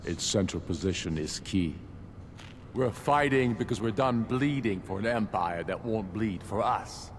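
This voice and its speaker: An actor playing a proud, grave, battle-hardened middle-aged rebel leader in a fantasy video game, speaking in a solemn, measured, oratorical way. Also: male voice, on the low side, lively intonation, slight background noise. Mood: stern, measured, then impassioned, defiant. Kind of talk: scripted video game dialogue. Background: background music.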